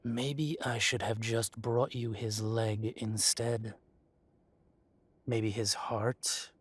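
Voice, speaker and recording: A man acting as a possessive dragon shifter mafia boss in a roleplay audio drama, speaking with mock politeness and deliberate, taunting menace. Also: mid-range voice, flat delivery, slightly rough, no echo. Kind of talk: scripted roleplay audio drama, addressing the listener.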